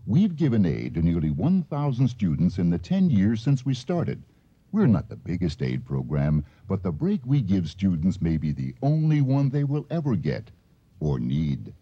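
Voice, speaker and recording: A man's voice: sits low, warm tone, slightly rough, no echo. A man reading a scripted public service announcement in earnest radio style. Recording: dull, band-limited radio recording.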